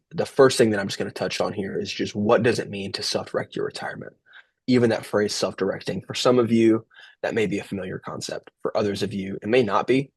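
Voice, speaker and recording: Brief: young male webinar host, stuffy and nasal from a cold, husky gravelly tone, flat delivery, dry sound. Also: lowish voice, dry recording. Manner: relaxed, filler-heavy, restarts. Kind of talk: casual presentation.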